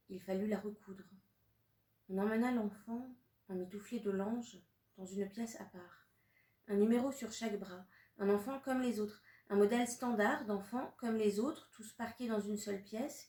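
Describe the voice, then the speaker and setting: A woman in her thirties, born in Russia and a native Russian speaker, reads her own poetic prose aloud. She speaks in a measured, deliberate way with long pauses, at an ordinary mid pitch. She is heard from some distance off the mic, in a room that echoes. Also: dark tone. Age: 30s. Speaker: author. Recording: roomy sound from a distant mic.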